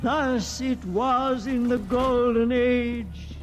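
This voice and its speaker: A man's voice: very high voice, extremely slow, heavily acted. A man playing a frightened, zealous old religious elder in an old-time radio drama, speaking in a solemn, pompous, preaching manner. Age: older. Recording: old radio recording with music.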